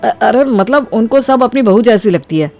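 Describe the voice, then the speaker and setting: A woman's voice: a little high, animated, moderate pace, warm tone, soft background music. A woman voicing a worried, weary adult son and husband in a story, with a troubled, questioning manner.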